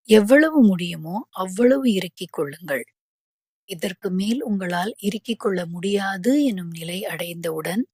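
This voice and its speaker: A woman guiding a yoga nidra relaxation, giving calm, measured instructions with pauses between phrases. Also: fairly low pitch, breathy edge, dry recording. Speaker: yoga nidra meditation guide.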